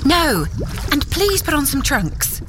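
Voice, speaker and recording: A woman's voice: high-pitched, very animated, takes it slowly, background noise. Exasperated woman in a radio ad. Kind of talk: comic radio ad.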